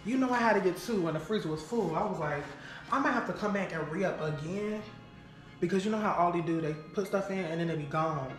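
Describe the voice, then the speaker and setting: A woman in her home kitchen shows off her purchases in an excited, playful vlog. She talks in a lively way, full of slang and catchwords she keeps repeating. The room echoes, music plays clearly behind her, and the camera sits a few steps away. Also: on the low side, a little fast. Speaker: vlogger. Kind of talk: excited casual vlog, grocery haul. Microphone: camera on the counter, a few steps away.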